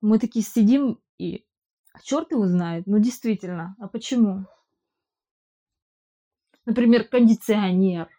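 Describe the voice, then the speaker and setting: Mellow-voiced woman, halting casual chat. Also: mid-range voice, muffled recording. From Russian-speaking immigrant living in Israel. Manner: hesitant, halting with restarts and fillers. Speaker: interviewee. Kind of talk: casual conversation.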